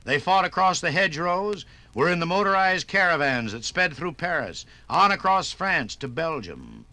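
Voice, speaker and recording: A man's voice: a little high, sing-song, normal speed, sharp tone, no echo. A man narrating a 1950s radio documentary with a stirring, emphatic delivery.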